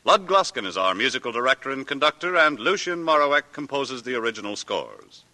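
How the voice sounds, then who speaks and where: A man's voice: mid-range voice, big pitch swings, brisk, sharp tone. A man with an American accent reading formal, emphatic scripted announcements as a 1940s radio network announcer. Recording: reverberant vintage radio recording.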